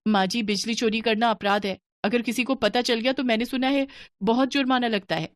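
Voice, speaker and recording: A woman's voice: on the high side, steady tone, fairly quick, no echo, pitched above the performer's own voice, lightly acted. A woman voicing a young, timid daughter-in-law in a cartoon story. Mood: cautious.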